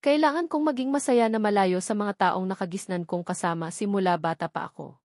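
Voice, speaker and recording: A woman's voice: mid-range voice, brisk, darkish tone, no echo, clean studio sound. A woman with a Filipino accent reading a first-person novel as a scripted audiobook narration.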